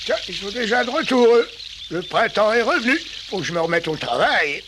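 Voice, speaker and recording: A greedy lone prospector in a cartoon. He mutters and whines to himself in a swooping, lively voice, with loud noise behind him. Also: high voice, fairly quick. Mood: grumbling. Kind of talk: French cartoon dubbing. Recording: heavy water and ambient noise behind.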